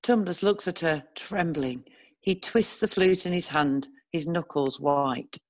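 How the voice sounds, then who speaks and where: A woman narrating screenplay stage directions in a measured, descriptive reading style. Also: deep voice, no room sound.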